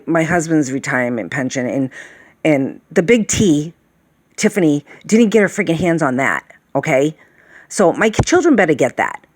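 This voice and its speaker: A woman's voice: low voice, dry recording. A woman in her late fifties rambling through a casual monologue, sighing often and checking in with "okay?" and "all right?". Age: late 50s. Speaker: vlogger.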